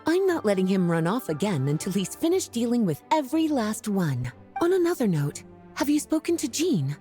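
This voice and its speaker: An actress playing a librarian mage in a game, languid and drawn-out. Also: female voice, medium pitch, very animated, warm tone, faint music behind, lightly acted.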